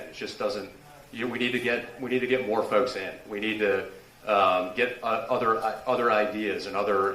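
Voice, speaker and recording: A man answering a question at a candidate forum, halting and full of restarts. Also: lowish voice, sharp tone. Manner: halting, many restarts and fillers. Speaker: political candidate. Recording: echoey room from a distance.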